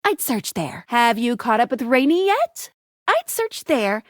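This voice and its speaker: Upbeat video game guide, playful, perky, swooping animated intonation, close dry studio sound. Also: female voice, a little high, a little slow, dry recording. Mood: encouraging. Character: upbeat, playful female helper guiding the player. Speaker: game character guide giving hints. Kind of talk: scripted game voice lines.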